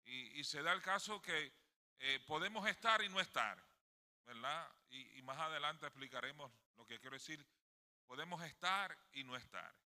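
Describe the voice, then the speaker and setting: Bright, forceful Puerto Rican man preaching. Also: fairly high pitch. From Puerto Rico. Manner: emphatic, often seeks agreement with tag questions. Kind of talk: sermon delivered to a congregation. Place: indoors in a sanctuary. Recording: long hall reverb.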